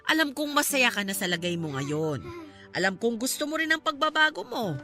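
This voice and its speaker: A woman's voice: fairly high pitch, sing-song, moderate pace, bright, forceful tone, soft background music, heavily acted. A woman with a Filipino accent acting in a radio drama as a caring, outspoken friend and mother, emphatic and coaxing. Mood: earnest persuasion.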